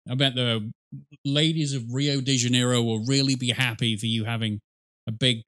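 A man's voice: mid-range voice, fairly even intonation, normal speed, fairly bright tone. A middle-aged man giving casual, sarcastic reaction commentary into a close mic as a video host. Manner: sarcastic, dry joking. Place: small indoor streaming room. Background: game cutscene music and sound.

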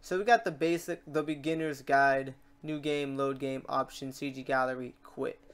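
A man hosting a software tutorial in a casual, chatty way, talking through each step aloud. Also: mid-range voice, steady tone, moderate pace. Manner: informal, chatty, talks through steps aloud. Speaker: tutorial host.

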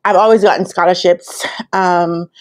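Jamaican woman in her thirties, relaxed chatty vlogger speaking into a laptop webcam in a hotel room. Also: on the low side, normal speed. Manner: chatty, laughs often, talks straight to viewers. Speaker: vlogger and business analyst coach. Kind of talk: casual storytelling vlog. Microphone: laptop webcam at desk.

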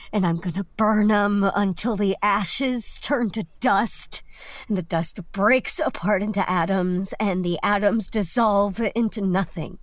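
Woman acting a bitter sarcastic young daughter in a radio play, slow n lively pitch, super dry close sound. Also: no room sound. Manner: biting, deliberate sarcasm. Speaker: actor in a scripted podcast. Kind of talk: scripted audio drama acting.

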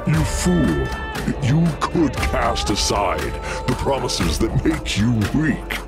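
An actor playing a menacing, commanding warrior in an audio drama, slow, taunting and grandiose. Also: male voice, mid-range voice, very animated. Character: menacing, commanding male champion warrior, cold and enraged. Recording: loud music under the voice.

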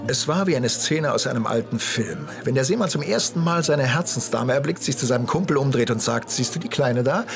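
Sitcom narrator: wry father looking back, gravelly mid voice, music behind. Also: medium pitch, lively intonation, fairly quick, hardly any pauses. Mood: wry, nostalgic. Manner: wry storytelling. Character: older father wryly telling his son his youth. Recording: music behind dubbed TV audio.

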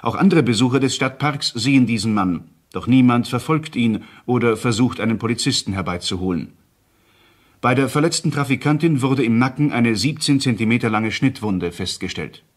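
An actor as a crime chronicle narrator, reading a scripted report in a formal, factual manner. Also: male voice, mid-range voice, expressive, moderate pace, close to an everyday voice. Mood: factual, grave.

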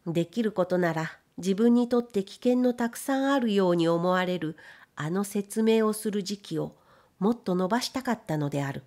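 A woman narrates classic fiction for an audiobook. Her voice sits at a medium pitch, and she reads from the text in a literary storytelling style. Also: moderate pace, darkish tone.

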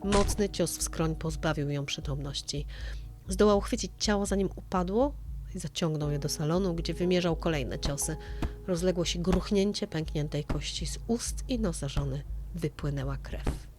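Low-voiced woman narrating true crime over music. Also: normal speed.

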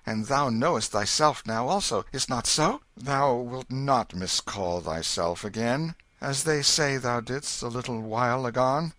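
A stern old king softens into gentle, archaic speech, as a man reading a storybook puts him on. The voice is raised above the reader's own and carries a lively lilt. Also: mid-range voice, slow pace, no room sound, voice pushed up, full character voice. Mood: tender. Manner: archaic, soothing, kingly speech. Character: stern aging king, gentle toward his son.

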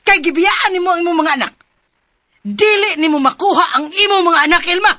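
A woman with a Cebuano accent acting a sharp-tongued, scornful, threatening mother in a radio drama. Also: very high voice, lively intonation, fairly quick. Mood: scornful anger.

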